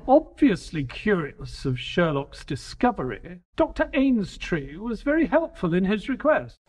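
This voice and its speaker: Male narrator as detective's earnest companion: dramatic scripted storytelling, lighter high register, expressive swings. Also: measured pace, husky, heavily acted. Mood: intrigued.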